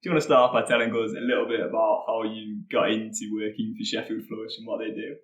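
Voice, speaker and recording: A man hosting a podcast interview, relaxed and easygoing. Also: lowish voice, fast, muffled recording. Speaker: podcast host. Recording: roomy echo with faint music.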